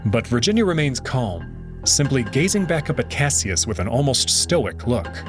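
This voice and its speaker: A man narrating a visual novel aloud in an expressive way. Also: mid-range voice, normal speed. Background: background music.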